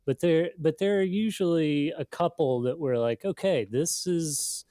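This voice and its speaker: A man speaking as an interviewed editor, casual and halting, thinking aloud with lots of conversational fillers. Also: a little high, dark tone, dry recording, clean studio sound. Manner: thinking aloud, halting, peppered with "you know" and "like". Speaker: magazine editor being interviewed.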